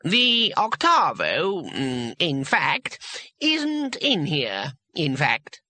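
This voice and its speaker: A man voicing a pompous, haughty old male wizard schoolmaster in an audiobook, speaking slowly and deliberately. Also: high voice, sing-song, sharp tone, dry recording, voice pushed up, full character voice. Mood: embarrassed, reluctant. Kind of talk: audiobook character voice.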